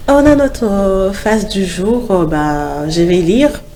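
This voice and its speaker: A woman's voice: medium pitch, sing-song, takes it slowly, mellow, a little hiss or hum. A woman with a cold hosting a morning TV show, chatty and upbeat with lots of fillers. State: has a cold. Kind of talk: casual studio chat. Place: TV studio.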